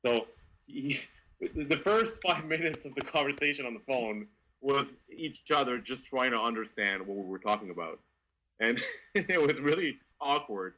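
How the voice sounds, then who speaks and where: A man from Quebec with a Quebec French accent, telling stories as an interview guest in a lively, stammering way. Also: medium pitch, moderate pace, low-quality recording. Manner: animated, frequent stammering restarts. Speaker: guest, car reviewer. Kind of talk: casual interview storytelling.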